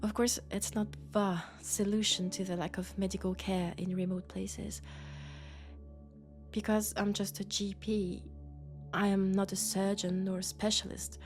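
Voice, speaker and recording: Woman acting a gentle martian doctor with a french accent, sick with a cold, slow n soft, chatty sci-fi roleplay, music behind. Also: mid-range voice, flat delivery, close to an everyday voice. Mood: warm, reflective. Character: gentle, sociable female traveling Martian doctor, sick in bed. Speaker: audio roleplay performer. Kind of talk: intimate sci-fi roleplay acting, one-sided conversation.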